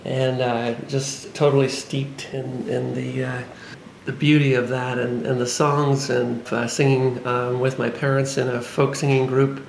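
A man's voice: medium pitch, level tone, a little slow, vocal fry, echoey room, slight background noise, rough recording. A man in his forties from Canada, a singer-songwriter guest, talking casually and hesitantly with many fillers. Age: 40s. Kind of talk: casual interview.

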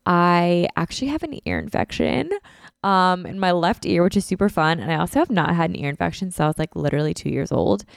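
A woman's voice: fairly low pitch, animated, fairly quick, gravelly, dry recording, studio quality. A woman in her twenties hosting a casual solo podcast, congested and talking in a chatty way full of "like" and "um" as she records at home on a podcast mic. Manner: chatty, lots of "like" and "um". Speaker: podcast host. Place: beach house living room, indoors.

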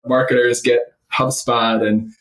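A man's voice: mid-range voice, flat delivery, a little slow, a bit bright. A man speaking as an interview guest and startup executive, conversational yet emphatic and opinionated, building points through repeated phrases. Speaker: startup CEO and former finance leader, interview guest. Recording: roomy, echoey sound.

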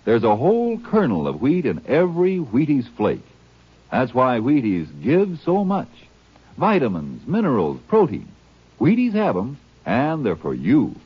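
A man's voice: medium pitch, wildly swooping pitch, a little slow, husky. A man announcing a 1950s radio commercial in a folksy, emphatic way, addressing the listener directly. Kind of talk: 1950s radio advertising pitch. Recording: muffled, band-limited 1950s radio recording.

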